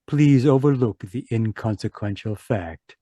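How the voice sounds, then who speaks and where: An actor playing a sly, macabre host who introduces eerie tales in a theatrical, drawn-out old-time radio style. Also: male voice, mid-range voice, warm tone, no echo, studio quality, natural voice. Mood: sly, ominous. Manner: theatrical, sinister, drawn-out phrasing. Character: The Mysterious Traveler, sly macabre mystery host.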